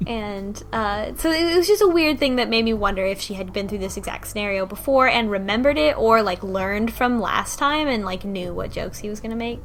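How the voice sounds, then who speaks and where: A woman hosting a casual group discussion, retelling a story in a halting, conversational way. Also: mid-range voice, lively intonation, a little hiss or hum. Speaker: podcast host recapping the story. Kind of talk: casual group recap discussion of a visual novel.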